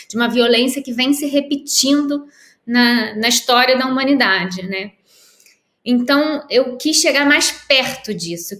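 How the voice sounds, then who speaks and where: A Brazilian woman author, a guest on a remote interview, answering in a thoughtful, measured way with pauses and restarts. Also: fairly high pitch, a bit bright. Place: at home in Lisbon, by a window. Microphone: video call. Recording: echoey room on a video call.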